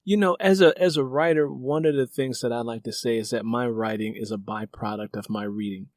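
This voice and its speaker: A man's voice: mid-range voice, fairly quick, no room sound, studio quality. A man interviewing as host and fellow writer, conversational and effusive, repeating words for emphasis.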